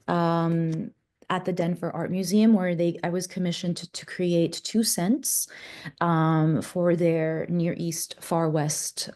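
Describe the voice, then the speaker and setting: Mellow-voiced woman perfumer presenting, hesitant. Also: fairly low pitch. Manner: hesitant, many fillers and self-corrections. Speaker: perfumer and interdisciplinary artist, presenter. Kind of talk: conference presentation with slides.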